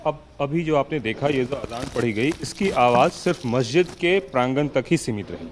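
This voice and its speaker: A man's voice: mid-range voice, moderate pace. Male news reporter, mid-range voice, vox-pop interviewing outdoors with light background noise.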